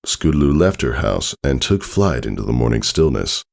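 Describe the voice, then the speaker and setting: A man's voice: very deep voice, very animated, normal speed, gravelly. A man reading a story aloud as a scripted narration. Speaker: fan fiction reader.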